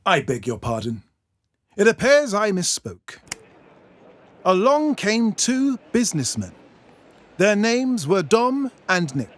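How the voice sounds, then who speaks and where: Pompous British male storyteller, high and animated. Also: dry recording. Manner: pompous, deliberate. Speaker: storyteller narrator. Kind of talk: theatrical tongue-in-cheek storybook narration.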